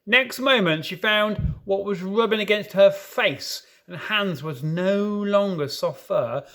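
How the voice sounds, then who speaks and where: A man reading a children's story aloud in an expressive, dramatic way, with playful asides. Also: sits high. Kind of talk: animated storybook narration.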